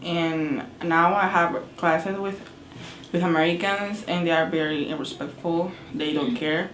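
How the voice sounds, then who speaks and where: Very low-voiced teen girl, echoey room. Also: fairly even intonation, fairly bright tone, slight background noise. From El Salvador. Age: teens. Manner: halting, repeats words, simple non-native phrasing. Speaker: interviewee. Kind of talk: hesitant interview answers.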